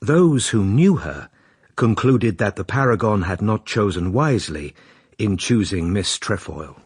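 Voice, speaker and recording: A man narrating a period radio drama in a wry, confiding, measured way. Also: fairly low pitch, lively intonation, slightly rough, no room sound, clean studio sound. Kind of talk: scripted storytelling narration.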